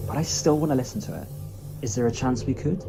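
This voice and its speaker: A man's voice: mid-range voice, quick pace, over music, lightly acted. A man playing an eager, inquisitive young travelling companion in a fan audio drama, talking casually. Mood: curious. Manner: casual, conversational. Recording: noisy home recording.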